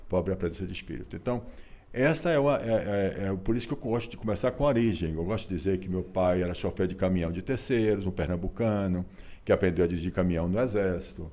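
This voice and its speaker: A Brazilian man, telling his family story in a relaxed interview, folksy and repetitive with frequent rhetorical tags. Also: sits low, fast talker. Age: 60s. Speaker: interview guest, author and businessman.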